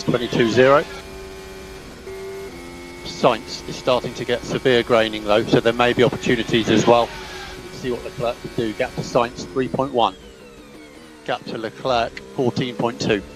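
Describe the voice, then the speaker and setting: A man's voice: medium pitch, steady tone, normal speed, rough recording. A man working as a race engineer, giving clipped instructions over team radio to a driver and repeating key calls. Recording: team radio with music behind.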